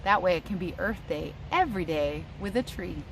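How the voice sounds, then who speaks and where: A woman's voice: lowish voice, animated, a little fast, a bit bright, low-quality recording. A woman teacher reading a picture book aloud to children outdoors, adding casual asides and speaking to her young listeners in an encouraging way. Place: outdoors under trees near a house. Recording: outdoor background noise, passing aircraft.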